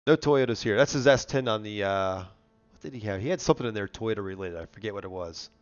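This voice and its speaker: A man with a fairly low, nasal voice that sounds congested and worn from allergies. He hosts a casual car show, chatting and spinning stories in an informal way. The stream audio is a bit dull and lo-fi. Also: fast. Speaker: host of a car enthusiast live show. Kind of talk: casual chat and storytelling.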